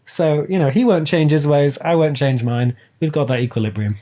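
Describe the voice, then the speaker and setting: A man chatting casually as a podcast guest, animated and playfully dramatic in the way he talks. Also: a little high, moderate pace. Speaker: podcast guest, writer and audio drama producer. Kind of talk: casual chat.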